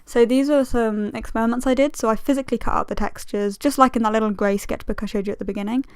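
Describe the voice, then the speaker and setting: A woman's voice: on the high side, fairly even intonation, fast, clear, resonant tone, no echo, clean studio sound. A woman in her twenties with a slightly strained voice, giving a chatty, self-deprecating, rambling commentary as a casual video host. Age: 20s. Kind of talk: casual sketchbook tour commentary.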